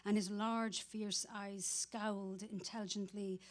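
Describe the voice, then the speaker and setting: Female narrator for staged literary prose, medium pitch, scripted delivery. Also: level tone, a little slow.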